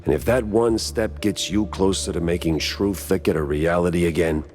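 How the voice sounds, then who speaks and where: An actor playing a hard-boiled, world-weary mouse private detective in a noir cartoon, with a low, gruff American voice and a wry, laconic, slangy way of talking. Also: male voice, fairly even intonation, moderate pace, a little mellow, soft background music, natural voice. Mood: resigned, steady. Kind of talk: 1930s noir detective acting with brooding voice-over.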